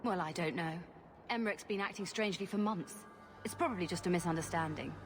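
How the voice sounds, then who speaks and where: A woman's voice: fairly low pitch, fast, over loud music, natural voice. A woman playing a worried, dutiful city dweller in a fantasy video game, speaking earnestly. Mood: puzzled. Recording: loud ambient noise over voice.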